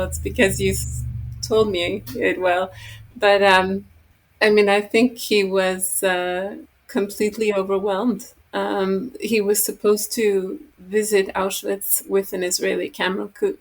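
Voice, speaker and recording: A woman, co-author of a book, giving a measured, reflective answer in an interview. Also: medium pitch, vocal fry. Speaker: guest, co-author.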